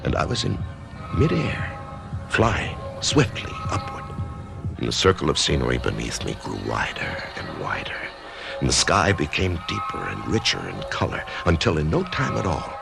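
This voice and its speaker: An actor playing an author who narrates his own death in a whispery, hushed voice, stammering with wonder, in a radio drama. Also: very deep voice, huge pitch swings, normal speed, gravelly, music in the background, heavily acted. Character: dying male author, awed, curious. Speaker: narrator and lead.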